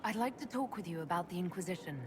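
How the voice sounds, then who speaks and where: Woman voicing composed lady mage leader for a game, measured and inquisitive, on the lower side, faint noise. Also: lively intonation, natural voice. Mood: calm, curious. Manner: measured, direct questioning. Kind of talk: video game dialogue acting.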